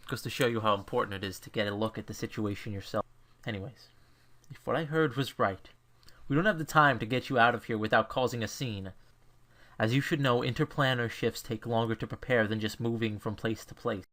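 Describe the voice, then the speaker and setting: A man's voice: lowish voice, a bit bright. A man playing a stern, short-tempered mentor lecturing a trainee in a visual novel fan dub.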